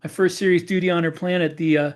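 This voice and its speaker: Man co-host quick to question and laugh. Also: sits high, flat delivery. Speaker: podcast co-host, author. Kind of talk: casual podcast chat.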